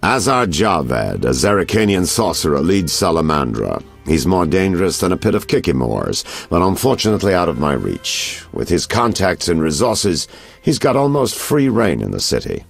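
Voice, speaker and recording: An actor playing a sly, knowing private detective in a video game, theatrical and insinuating in manner. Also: male voice, deep voice, sing-song, moderate pace, gravelly, faint music behind, full character voice. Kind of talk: video game character acting.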